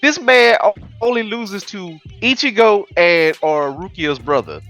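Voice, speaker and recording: Man, co-host, interjects quickly, very lively pitch, faint music. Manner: quick interjecting, laughs often. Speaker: podcast co-host. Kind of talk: casual chat.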